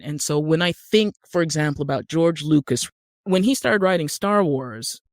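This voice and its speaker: An American man with a fairly high voice talks as an interview guest. He explains things in a conversational way, recorded close and dry. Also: dry recording. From Utah, USA. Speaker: guest, actor and screenwriter.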